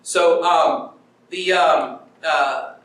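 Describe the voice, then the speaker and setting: A man's voice: fairly high pitch, drawn-out words, a bit bright. Echoey, distant male lecturer, informal. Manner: conversational, acts out paraphrased quotes, checks in with listeners. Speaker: university professor giving a guest lecture. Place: lecture room at a university, in front of an audience. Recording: very echoey room, distant mic, background noise.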